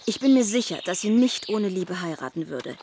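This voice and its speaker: An actress playing a vivacious, teasing, affectionate young society lady in a radio play, with an expressive, effusive manner. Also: medium pitch, moderate pace, slight background noise, lightly acted. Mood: delighted. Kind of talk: German radio play acting.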